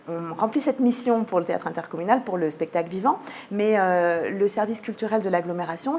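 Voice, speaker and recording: A woman's voice: on the low side, darkish tone. French woman explaining, with noise behind. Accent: French from France. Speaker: guest, head of cultural programming at a theatre. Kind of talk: radio interview, explaining a season programme.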